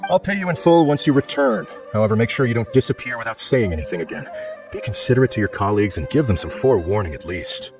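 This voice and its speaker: A formal, stern but fair research supervisor, played by a man in a video game. He talks at a fast clip with faint music underneath. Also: fairly low pitch, expressive, close to an everyday voice. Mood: stern, businesslike.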